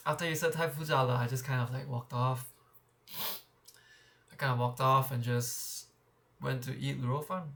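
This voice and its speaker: A man's voice: mid-range voice, steady tone, normal speed, long pauses, a bit bright. A young man talking candidly in a casual vlog, reflecting with frequent restarts and repeated words, recorded on a clip-on shirt mic. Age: young adult. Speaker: vlogger.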